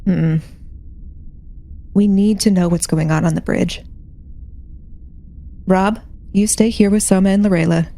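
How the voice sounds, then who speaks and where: A woman playing a young female security officer who gives calm, decisive orders in a tabletop game. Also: mid-range voice, natural voice. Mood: calm, commanding. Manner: measured, giving orders. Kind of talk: in-character tabletop roleplay.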